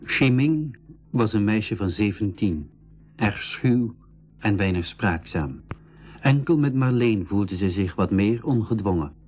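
A man playing the narrator of a school radio play, telling of his travels in measured storytelling. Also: fairly low pitch, animated, soft background music. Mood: reflective. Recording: dull lo-fi 1980s radio recording.

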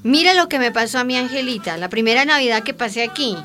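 An actress playing a motherly, expressive Colombian woman with colloquial speech in a radio soap. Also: a little high, fast talker, soft background music. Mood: reminiscing. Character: warm, settled Colombian wife explaining local ways to newcomer. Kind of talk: radio soap opera acting.

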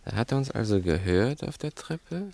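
An actor playing a brooding, taunting, talkative crook in a 1960s German radio play, musing theatrically and condescendingly. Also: male voice, fairly low pitch, very animated, moderate pace, creaky, a little mellow, no room sound. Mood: brooding, puzzled.

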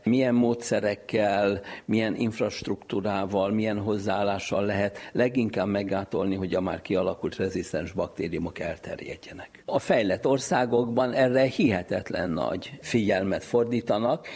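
A man, explaining things in a measured way as an expert being interviewed. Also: on the low side, darkish tone, slightly rough. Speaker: infectious disease specialist being interviewed.

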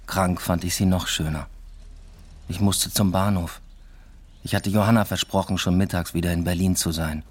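A man's voice: low voice, steady tone, moderate pace, breathy edge. A man acting in a literary radio drama as a secretive, restless lover, speaking in an understated, matter-of-fact way. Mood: reflective, subdued. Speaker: first-person narrator and lead actor. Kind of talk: literary radio drama, intimate narration and dialogue.

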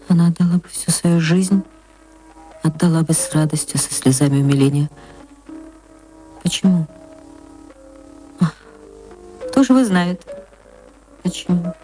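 A woman with a soft, mellow and slightly low voice narrates literary prose. She reads like a stage actress, tenderly and with feeling, over faint music. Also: rough recording. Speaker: actress reading a short story.

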